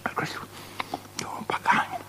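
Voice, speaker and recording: An actor playing a pious, disputatious religious elder in a radio drama, arguing in a breathy, conspiratorial whisper. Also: male voice, normal speed, close to an everyday voice. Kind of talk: avant-garde theatrical radio drama.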